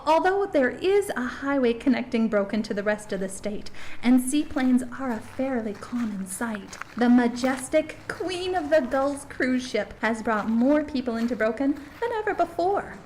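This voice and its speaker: A woman's voice: a little high, a little mellow, a little hiss or hum. A woman playing an upbeat small-town tourism host, earnest, polite and gushing as she narrates and interviews. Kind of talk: scripted promotional narration and interview.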